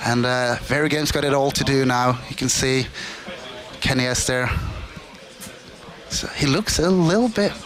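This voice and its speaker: A man's voice: mid-range voice, fairly bright tone. Playful male esports co-caster. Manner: casual, playful, quick to laugh along. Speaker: esports co-commentator. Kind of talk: live color commentary.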